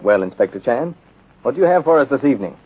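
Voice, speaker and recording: A male announcer from 1930s radio with a formal, polished delivery. He talks quickly, and the sound is narrow and muffled like a phone line. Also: mid-range voice, sing-song, music in the background.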